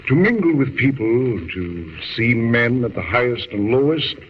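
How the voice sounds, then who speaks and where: An actor playing a gentle, grateful professor and father with halting, deliberate 1940s radio delivery. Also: medium pitch, animated, natural voice. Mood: earnest, advising. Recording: muffled, noisy, reverberant vintage radio recording.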